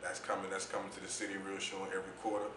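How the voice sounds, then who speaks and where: A man with a deep voice, speaking as an interview guest in a laid-back, reflective way about his poetry and youth mentoring. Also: monotone, racing, roomy sound, noisy background. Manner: laid-back, reflective, says "you know what I'm saying" often. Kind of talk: casual interview talk.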